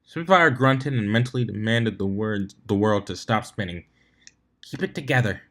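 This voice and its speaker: A man's voice: fairly low pitch, animated, normal speed, fairly bright tone. A man narrating fan fiction in a storybook reading style.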